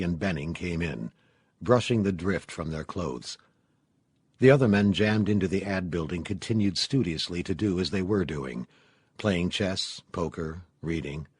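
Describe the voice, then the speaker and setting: Male audiobook narrator, very low and husky, scripted dramatic horror storytelling. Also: no room sound.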